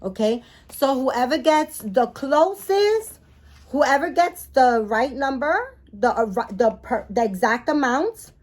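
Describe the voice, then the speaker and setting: A woman's voice: a little high, darkish tone. A woman with a Spanish-influenced accent, talking casually in a home vlog. Her talk is chatty and apologetic, full of fillers and restarts, and she moves closer to and farther from the camera mic. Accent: Spanish-influenced English. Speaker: doll collector vlogger. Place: home apartment.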